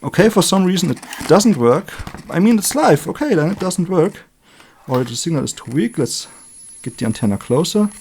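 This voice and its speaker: A man giving an unscripted hands-on electronics demonstration as a hobbyist, thinking aloud in short, halting remarks. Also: fairly high pitch, sing-song, a little mellow, slight background noise.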